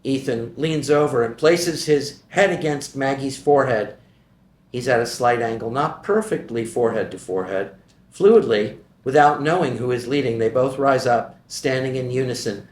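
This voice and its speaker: Man reading stage directions in an echoey room. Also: mid-range voice. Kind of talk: screenplay table read narration.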